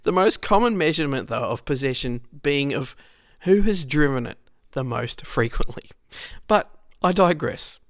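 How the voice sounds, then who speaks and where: A man's voice: medium pitch, lively intonation, normal speed, no room sound, clean studio sound. An Australian man reading a scripted history in a storytelling way, speaking directly to the listener with conversational asides. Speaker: narrator, rescue unit member.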